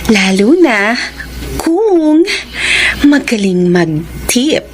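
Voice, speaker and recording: A woman's voice: a little high, big pitch swings, very slow, darkish tone, slight background noise, exaggerated voice. A woman playing a flirtatious, money-minded prostitute in a radio drama, sultry and teasing, with a Filipino accent. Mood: seductive.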